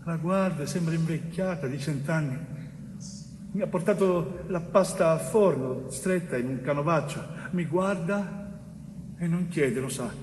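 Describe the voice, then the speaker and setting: An actor playing an anguished young mason, wrongly jailed, in an urgent, repetitive and pleading theatre monologue. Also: male voice, on the high side, measured pace, darkish tone, lightly acted. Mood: desolate, hushed. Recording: echoey hall, distant mic, faint noise.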